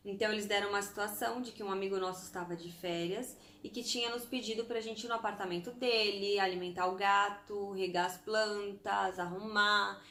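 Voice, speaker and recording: A woman's voice: medium pitch, fairly even intonation, brisk, hardly any pauses, bright, forceful tone, echoey room. A Brazilian woman in her thirties from Santos, chatting casually to camera as a vlogger and going through lists item by item. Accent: Brazilian Portuguese, from Santos. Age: 30s. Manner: chatty, spells out lists item by item with German words mixed in.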